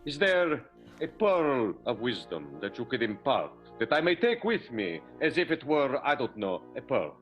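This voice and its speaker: A man voices an earnest, flustered young vaudeville hopeful. His pitch leaps about constantly, with faint music behind him. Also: sing-song, normal speed, dull audio, lightly acted.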